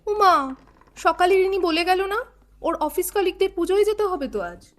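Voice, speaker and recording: A woman's voice: extremely high pitch, lively intonation, normal speed. An actress playing a feisty older wife in an audio drama, nagging her husband with affectionate sarcasm.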